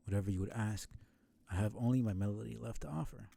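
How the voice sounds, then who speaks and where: Guy doing an old sickly bedridden game bug, low and muted, formal, sad and super polite. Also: creaky, warm tone. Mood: sorrowful, gracious. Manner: formal, apologetic, slow and halting. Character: ancient sickly bedridden bug, courteous and sorrowful. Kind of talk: video game dialogue read aloud.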